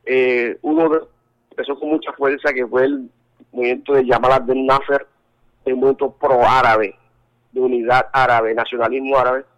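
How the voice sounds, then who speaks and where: A man's voice: mid-range voice, flat delivery. Colombian man speaking over a phone line. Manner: halting, many restarts and fillers. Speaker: interview guest. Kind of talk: phone interview. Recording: telephone line.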